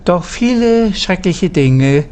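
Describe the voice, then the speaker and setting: Measured, slow-paced formal voice-over. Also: medium pitch, animated, darkish tone. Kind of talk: scripted German voice-over dialogue.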